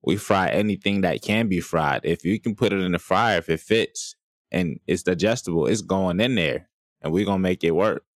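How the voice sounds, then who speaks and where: A man, telling stories in a colloquial, animated way during a casual podcast chat. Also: low-pitched, normal speed, dry recording, studio quality. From American South.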